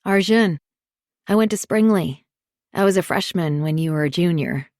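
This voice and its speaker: A woman's voice: on the low side, normal speed, a little mellow, dry recording, clean studio sound, natural voice. A woman voicing a friendly, teasing young man in an audiobook thriller. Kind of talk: audiobook dialogue reading.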